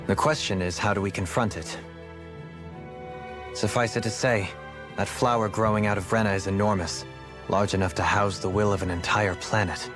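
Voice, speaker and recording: Low, composed, erudite gentleman, played by a man. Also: steady tone, moderate pace, music in the background, close to an everyday voice. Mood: analytical, grave. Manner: formal, articulate, analytical. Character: composed, erudite gentlemanly male fantasy companion. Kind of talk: scripted video game cutscene acting.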